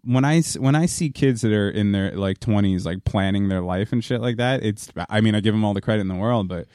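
Low-voiced man rambling on a podcast. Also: darkish tone, clear, resonant tone, no room sound, studio quality. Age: 30s. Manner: rambling, halting, with many restarts and fillers. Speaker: podcast co-host. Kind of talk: casual podcast conversation.

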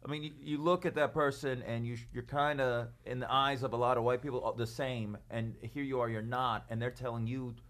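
A man's voice: medium pitch, fairly even intonation, fairly quick, few pauses, a bit bright. A man hosting a chat show, steering the talk with leading questions. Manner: conversational interviewer, leading questions. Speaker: host. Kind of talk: casual chat.

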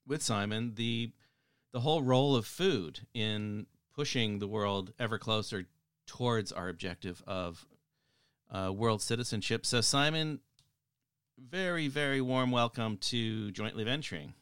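A man hosting a podcast interview, speaking in an earnest, measured way with frequent pauses and ums. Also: mid-range voice, fairly bright tone, smooth, no echo, clean studio sound. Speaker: podcast host.

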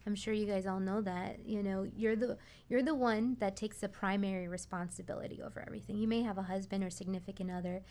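A woman's voice: medium pitch, fairly even intonation, quick pace, few pauses, dark tone. Young woman on a radio talk show explaining stuff as the expert, mid voice, repeats words a bit. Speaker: guest expert, massage therapist. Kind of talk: conversational radio interview, explaining.

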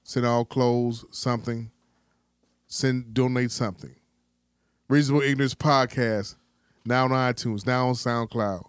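Male American podcast host, mid-pitched, dry sound, slangy, challenging, casual debate style. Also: fairly even intonation, measured pace, pauses often, clear, resonant tone, no room sound. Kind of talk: casual sports debate chat.

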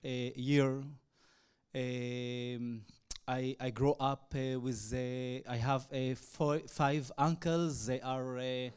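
A man from Israel, a native Arabic speaker, telling his personal testimony in hesitant, halting English full of fillers. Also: medium pitch, flat delivery, darkish tone, smooth. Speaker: evangelist.

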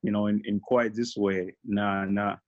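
A low-pitched man in his thirties talks through his path in an easy, reflective way, leaning on "you know" and "uh" as he goes. The sound is slightly dull and lo-fi. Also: measured pace. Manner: relaxed, reflective, many fillers. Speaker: guest. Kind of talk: casual interview.

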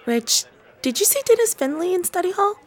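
A young woman acting in a radio drama as a blunt, protective teenage high school girl who questions her friends emphatically. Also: very animated, brisk. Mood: casual, evasive.

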